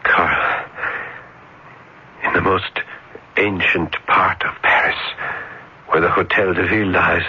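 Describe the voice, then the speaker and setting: An actor playing a lonely, shy, morbid scholar in an old radio drama, with a halting, brooding, theatrical delivery. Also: male voice, low voice, fairly even intonation, sharp tone, full character voice. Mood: horrified, anguished. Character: lonely, shy, morbid, tormented male scholar in revolutionary Paris. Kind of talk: vintage radio drama acting. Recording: dull, noisy old radio broadcast.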